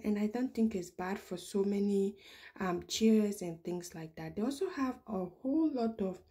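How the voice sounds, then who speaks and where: A woman's voice: medium pitch, dark tone. A woman vlogger chatting casually as she walks through a large store, with frequent ums and restarts. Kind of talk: casual shop-with-me narration. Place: large home improvement store, indoors.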